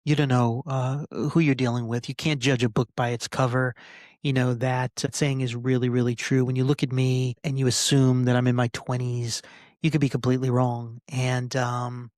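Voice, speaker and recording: A man in his forties talking casually as a podcast host, venting with halting, repetitive phrasing and some swearing. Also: mid-range voice, little pitch movement, a little mellow, no room sound, studio quality. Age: 40s. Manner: frustrated, sweary. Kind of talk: casual personal rant to listeners.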